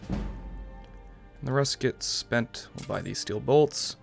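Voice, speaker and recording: A man's voice: mid-range voice, expressive, slow pace, creaky, faint music behind. A young American man giving casual, rambling let's-play commentary as the host of a gaming channel. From New York, United States. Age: young adult. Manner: rambling, self-qualifying.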